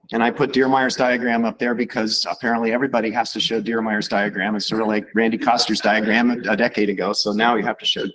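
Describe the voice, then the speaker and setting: A man's voice: lowish voice, a bit bright, rough recording. A man with a head cold giving a conversational conference talk as a reviewer, with a wry, informal manner. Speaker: invited reviewer at a workshop.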